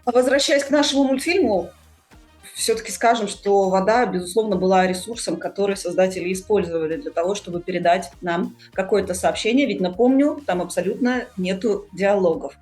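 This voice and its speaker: A woman's voice: medium pitch, fairly quick, a little mellow, faint music behind. A woman hosting a conversational podcast, explaining things and addressing her listeners directly. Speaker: podcast host.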